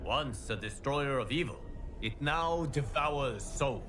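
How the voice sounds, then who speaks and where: A calm, solemn male fire god in a video game who speaks slowly and gravely, weighing every formal word. His voice sits in an ordinary middle register. Game music plays clearly behind him. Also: medium pitch. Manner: measured, grave, formal diction. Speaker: game character briefing the player. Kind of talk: solemn game cutscene narration. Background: game score music.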